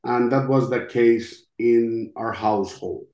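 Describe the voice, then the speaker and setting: A middle-aged man with a Costa Rican Spanish accent shares his testimony at a very unhurried pace, pausing often as he reflects. His voice is on the mellow side, and the room adds a noticeable echo. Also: medium pitch, steady tone. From Costa Rica. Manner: halting, reflective, with long pauses. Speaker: interview guest, podcast host. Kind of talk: personal testimony in an interview. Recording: roomy, echoing sound.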